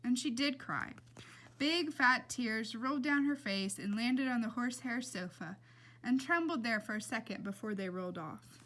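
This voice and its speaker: A woman reading a children's novel aloud as an expressive storyteller. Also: fairly high pitch, moderate pace. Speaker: reader.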